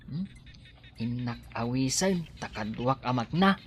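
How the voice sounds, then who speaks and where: A man's voice: medium pitch, animated, measured pace, a little hiss or hum, lightly acted. A man playing a devoted, anxious young husband and expectant father in a radio drama, fussing and easily alarmed. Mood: tender, concerned.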